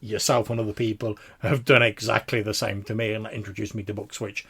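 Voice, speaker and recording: A man hosting a podcast, casually responding to listener messages in a husky, gravelly voice. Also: lowish voice, level tone, brisk, pushed and bright. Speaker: podcast host.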